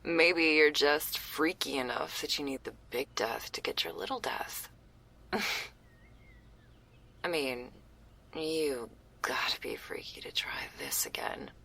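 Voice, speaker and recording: A woman playing a laid-back, teasing but caring stoner werewolf girl in an intimate ASMR roleplay, breathy and often near a whisper, with casual crude slang. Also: sits low, takes it slowly, long pauses, sharp tone, heavily acted. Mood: wry, apologetic.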